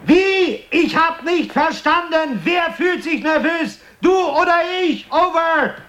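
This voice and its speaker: A man's voice: very high voice, lively intonation, slow, heavily acted. A man playing an insistent radio contact straining to hear, in a radio drama over a noisy link.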